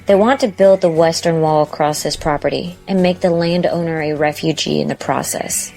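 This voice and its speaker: Low-voiced, confident young woman. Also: normal speed, vocal fry, a little mellow, soft background music. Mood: self-assured. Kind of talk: audio drama acting.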